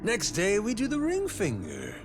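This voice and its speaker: Menacing yakuza pirate captain, playful, taunting, folksy, wildly varied pitch, game dub, music underneath. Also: male voice, wildly swooping pitch, moderate pace. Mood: mocking menace. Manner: taunting, theatrical, folksy drawl. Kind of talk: English dub video game voice acting. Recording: music behind the voice.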